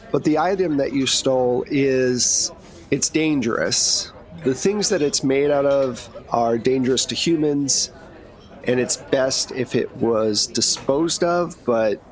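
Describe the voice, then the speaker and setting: A man's voice: medium pitch, natural voice. A man playing a brash, cigar-smoking woman agent in a tabletop game, talking bluntly and casually.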